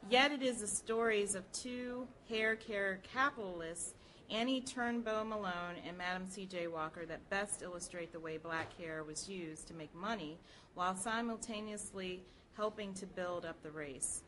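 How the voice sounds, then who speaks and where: A woman's voice: mid-range voice, normal speed, fairly bright tone. An American woman reading aloud from a nonfiction history book at a live public program. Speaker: public reader at a library program. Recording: clearly noisy live event recording.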